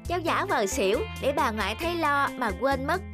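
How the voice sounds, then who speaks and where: A woman playing a plucky, inquisitive, mischievous little granddaughter in an animated film, polite but insistent. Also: sits high, brisk. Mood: playful, puzzled. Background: music.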